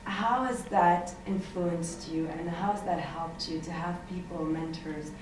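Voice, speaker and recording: Woman host asking questions, friendly, lowish voice, noisy far-off mic. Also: unhurried, heavy reverb. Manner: friendly, laughs easily, guiding questions. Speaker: show host. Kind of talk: interview hosting.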